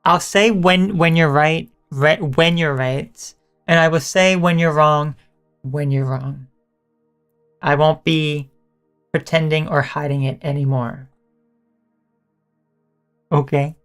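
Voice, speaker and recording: Hoarse woman streamer signing off. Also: deep voice, fairly even intonation, slow pace, long pauses, soft background music. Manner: rambling, self-correcting, teasing chat toward viewers. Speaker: live streamer. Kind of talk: casual live-stream chat and sign-off.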